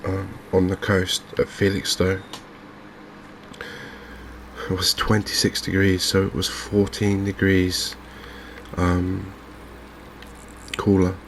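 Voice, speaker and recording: Softly spoken English male game host, flat and hushed delivery, gravelly fry, faint background noise. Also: deep voice. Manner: gentle, unhurried, hushed. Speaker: gaming video host. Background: fishing game ambience.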